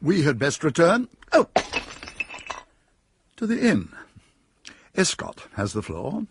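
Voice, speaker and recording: A man narrates a radio drama with dry wit, his voice lively and a little high. Clear background noise runs under his telling. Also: slow pace. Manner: dry, wry storytelling.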